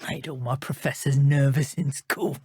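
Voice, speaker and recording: An actress playing a menacing, taunting dark witch in a video game, with a British accent and a contemptuous manner. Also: a bass voice, steady tone, normal speed, husky, no room sound, studio quality, exaggerated voice. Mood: smug, sinister. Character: menacing, sneering dark witch poacher, adult woman. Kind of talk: video game character voice lines.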